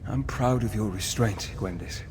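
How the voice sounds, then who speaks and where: An actor playing a noble, earnest war leader in a fantasy video game, formal and dramatic in delivery. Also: male voice, lowish voice, normal speed, in a large hall, background noise, lightly acted. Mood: solemn, reassuring.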